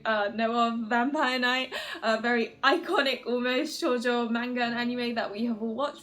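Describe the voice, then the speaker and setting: A woman hosting an ad-libbed, chatty video commentary, rambling along in an excitable, giggly manner. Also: a little high. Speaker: video host introducing games and voice actor's roles. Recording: music playing under the voice. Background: background music.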